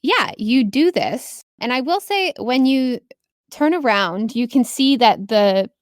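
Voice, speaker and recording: A woman's voice: on the high side, lively intonation, slow pace, no echo, clean studio sound. A woman narrating a tabletop role-playing game as the game master, describing scenes to players.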